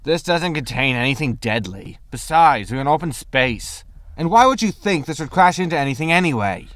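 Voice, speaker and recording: A man's voice: on the high side, lively intonation, moderate pace, a bit bright, no room sound, studio quality. A man playing a bossy, easily exasperated starship captain and pilot in a sci-fi sitcom audio drama. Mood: dismissive. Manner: exasperated, brusque. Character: irritable, bossy male spaceship captain and pilot.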